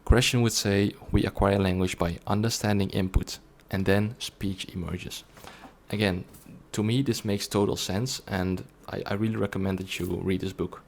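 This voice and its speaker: A man's voice: on the low side, fairly even intonation, normal speed, vocal fry. A man presenting an explanatory monologue to viewers in an earnest, didactic way. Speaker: language learning video presenter.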